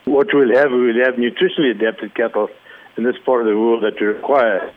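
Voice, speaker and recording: A man from Zimbabwe, a cattle rancher, explaining his work in a measured way during an interview. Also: mid-range voice, steady tone, no room sound. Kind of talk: phone interview. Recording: narrow phone line.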